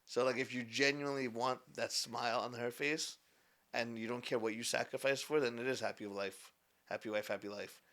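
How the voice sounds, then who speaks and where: A man's voice: mid-range voice, fairly even intonation, a little fast, fairly bright tone. Earnest rambling young man. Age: 20s. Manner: earnest, rambling with many restarts. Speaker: guest, business founder. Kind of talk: casual podcast conversation.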